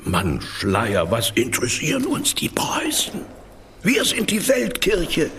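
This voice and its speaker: A scheming, persuasive church official. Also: male voice, on the high side, huge pitch swings, slow pace, a bit bright, heavily acted. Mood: commanding. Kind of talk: radio drama acting.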